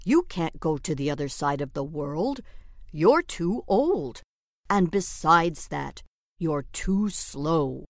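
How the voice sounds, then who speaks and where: Storybook role: blunt male wolf, woman doing it, lower and slow. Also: big pitch swings, no echo, studio quality, heavily acted. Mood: dismissive.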